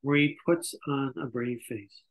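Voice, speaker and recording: A man's voice: medium pitch, steady tone, measured pace, rough recording. Older man reading stage directions. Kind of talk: scripted narration at a table read. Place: indoor room on a video call. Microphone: video call microphone.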